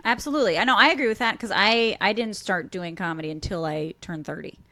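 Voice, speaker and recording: A woman in her thirties co-hosting a casual interview, engaged and quick to affirm. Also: mid-range voice, pushed and bright, dry recording. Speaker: podcast co-host, comedian.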